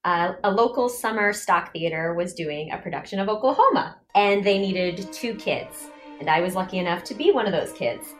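A woman's voice: mid-range voice, lively intonation, moderate pace, few pauses. A woman from the Washington, D.C. area, a performer and director, telling her own story in an effusive, upbeat way. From Washington, D.C. area, USA. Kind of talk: first-person audio portrait narration. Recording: roomy sound with faint music behind.

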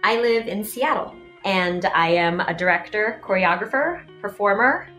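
A woman's voice: medium pitch, lively intonation, normal speed, bright, forceful tone. A woman from the Washington, D.C. area, a performer and director, telling her own story in an effusive, upbeat way. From Washington, D.C. area, USA. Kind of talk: first-person audio portrait narration. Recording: roomy sound with faint music behind.

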